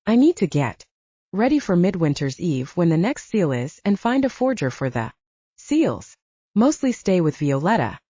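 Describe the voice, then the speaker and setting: A proud young man of a supernatural enforcer, read by a woman in an audiobook. He is curt and defiant toward his elders. The tone is soft-edged and mellow, in a dry, slightly dull recording. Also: on the low side, very animated, normal speed, breathy edge, no room sound. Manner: curt, stern. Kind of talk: audiobook character dialogue.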